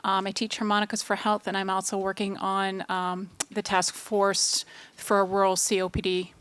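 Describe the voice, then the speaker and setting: A woman's voice: mid-range voice, completely flat delivery, normal speed, a bit bright, clean tone. A woman from Maine, a respiratory therapist and advocate, introducing herself steadily. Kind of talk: brief self-introduction.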